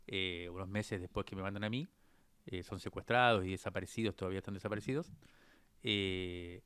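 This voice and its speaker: A man's voice: on the low side, fairly bright tone, clean tone, no echo. A man in his fifties from Argentina, speaking with an Argentine accent as an interview guest, telling his life story thoughtfully with frequent hesitant fillers. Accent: Argentine Spanish. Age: 50s. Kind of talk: reflective personal storytelling.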